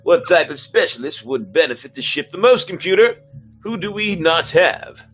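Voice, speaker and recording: A man acts out a brash spaceship captain in a sci-fi tale. He is commanding and sarcastic, with very lively pitch. Music sits behind the voice. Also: normal speed, husky. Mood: flippant. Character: cocky, bossy, sarcastic male spaceship captain. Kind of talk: dramatic reading of sci-fi script.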